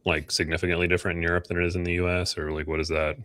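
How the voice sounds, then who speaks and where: A man's voice: low-pitched, flat delivery, racing, a bit bright, dry recording. An American man hosting a casual interview, talking in an informal, joking way. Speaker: podcast host.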